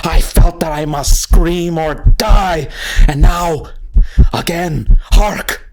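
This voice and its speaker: A man's voice: a little high, takes it slowly, a little hiss or hum, pitched above the performer's own voice. A man performing a dramatic gothic horror audiobook as a boastful, paranoid madman confessing to murder, with theatrical, intense emphasis. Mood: frenzied, shrieking.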